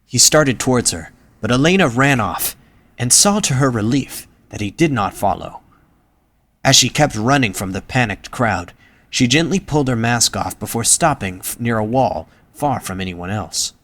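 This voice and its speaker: A man's voice: mid-range voice, animated, normal speed, a bit bright. A man reading scripted narration for an audio drama as its narrator.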